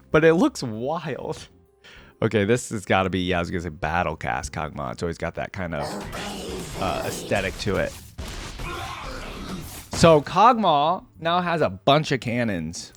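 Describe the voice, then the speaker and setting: A man's voice: fairly low pitch, very animated, measured pace, pauses often, fairly bright tone. Young guy doing a reaction vid, very up-and-down voice, lots of oh my gods and laughs, faint music under it. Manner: exclaims and laughs while thinking aloud. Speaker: reaction video host. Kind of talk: casual reaction commentary.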